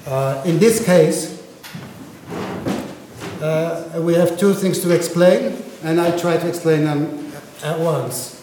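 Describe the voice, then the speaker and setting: A man's voice: fairly high pitch, takes it slowly, dark tone, slight background noise. A man whose first language is Dutch, giving a university linguistics lecture and often checking understanding with "right?". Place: lecture room. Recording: echoey lecture room.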